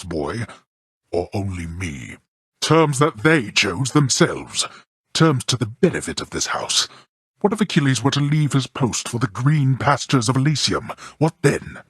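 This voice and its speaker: A stern god of the dead rules and scolds in a husky voice that swings dramatically up and down. He is an imperious, contemptuous father who speaks in formal, old-fashioned phrasing. It is a video game performance, recorded close and dry. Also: on the low side, no echo.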